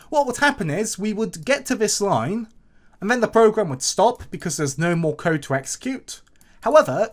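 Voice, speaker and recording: A woman presenting a step-by-step coding tutorial in a clear, instructional way. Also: medium pitch.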